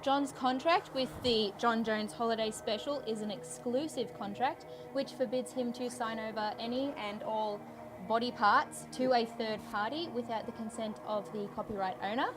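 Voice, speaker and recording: Actress as pedantic, formal female rights holder, comedy, unruffled, higher register. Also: normal speed, noisy background, close to an everyday voice. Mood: officious. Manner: formal, legalistic. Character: officious female copyright owner, pedantic, unruffled. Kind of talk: scripted audio comedy acting.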